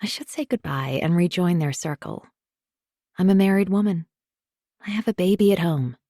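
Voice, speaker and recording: Woman, audiobook narrator, first-person storytelling, measured and confiding, gravelly texture, dry close mic. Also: fairly low pitch, mellow, no echo.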